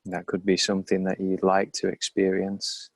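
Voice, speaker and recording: Male meditation guide: calm and slow, low register, little melodic movement, close dry sound. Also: no room sound. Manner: calm, unhurried, measured phrases.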